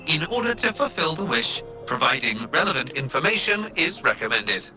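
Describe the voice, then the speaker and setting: A man's voice: a little high, very animated, normal speed, pushed and bright, soft background music, heavily acted, low-quality recording. A man voicing a small helpful robot assistant in a video game, speaking in formal, literal report phrases. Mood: formal, matter-of-fact.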